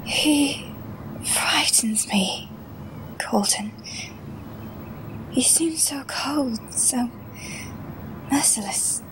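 A woman playing a frightened, timid character in a comic book drama, breathy, hushed and hesitant. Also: high-pitched, very slow, long pauses, rough recording. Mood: fearful. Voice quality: breathy, whispering. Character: frightened, timid woman confiding her unease.

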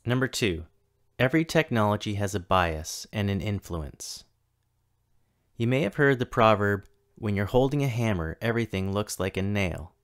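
A man in his thirties reads a prepared keynote for a virtual conference. His voice sits a little low, and he takes his time, pausing between each item in a list. The delivery is steady and deliberate. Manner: measured, deliberate reading with list-like pauses. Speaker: keynote speaker, tech coordinator. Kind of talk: scripted keynote talk. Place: home or office, recorded for a virtual conference.

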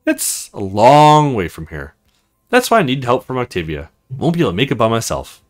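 A man's voice: mid-range voice, huge pitch swings, moderate pace. A man voicing an awkward, earnest young male recruit in a visual novel, hesitant and self-conscious. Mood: nervous.

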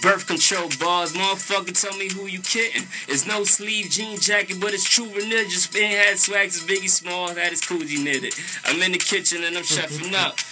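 A man's voice: sits high, steady tone, moderate pace, hardly any pauses, over music. A young man from New York rapping freestyle in a brash, boastful, streetwise way on a close studio microphone. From Harlem, New York. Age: young adult. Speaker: guest rapper. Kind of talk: freestyle rap over a beat. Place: small radio studio. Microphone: close studio boom microphone. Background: hip-hop beat playing.

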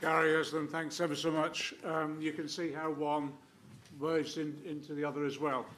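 A man chairing a conference session, moving briskly through questions in a lecture hall. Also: a little high, level tone, background noise. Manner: brisk, hurried prompts.

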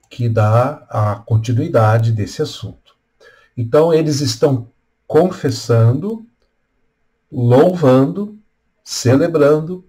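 An older man with a Brazilian accent, a pastor giving a devotional talk in measured, deliberate phrases with pauses. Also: mid-range voice, a little mellow, slightly rough. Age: older, around 60s. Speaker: Lutheran pastor. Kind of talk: devotional talk with scripture reading and prayer. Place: small room at home or office, indoors. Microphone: webcam at desk distance.

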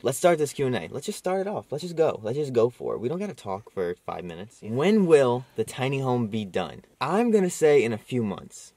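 A man's voice: mid-range voice, sing-song, dark tone, no room sound. Playful young man chatting in a car. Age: young adult. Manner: playful, joking, talks fast in bursts. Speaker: vlogger. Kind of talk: casual chat. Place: inside a parked car. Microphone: camera held at arm's length.

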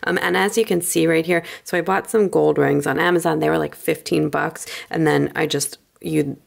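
A woman chatting informally through a casual craft flip-through in a rambling way, slightly hoarse from a cold. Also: low-pitched, steady tone, moderate pace. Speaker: craft video creator.

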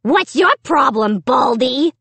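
A woman voicing a malicious male ventriloquist dummy in a storybook, raspy, harsh and taunting with rude insults. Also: sits high, animated, takes it slowly, fairly bright tone, dry recording, heavily acted. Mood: mocking, harsh.

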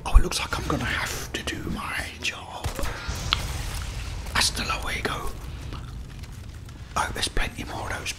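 A man's voice: brisk, few pauses. Whispering middle-aged man. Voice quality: breathy whisper. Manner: chewing gum while talking. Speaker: video game streamer. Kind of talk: whispered ASMR gaming commentary. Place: indoor room at a desk. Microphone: close desk mic.

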